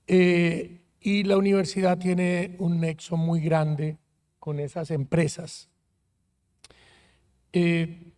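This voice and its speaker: A man from Colombia, a university rector speaking on a conference panel in a friendly, measured way with frequent hesitations. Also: high voice, a little mellow. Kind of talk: panel talk. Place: conference auditorium.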